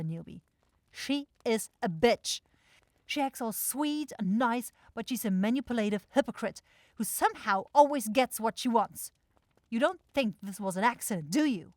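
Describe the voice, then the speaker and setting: A woman playing an irritable, sharp-tongued demon shopkeeper in a scripted fantasy ASMR roleplay, blunt and exasperated. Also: medium pitch, dry recording, close to an everyday voice. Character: grumpy, sarcastic demon goat-eyed shopkeeper sister, sharp-tongued.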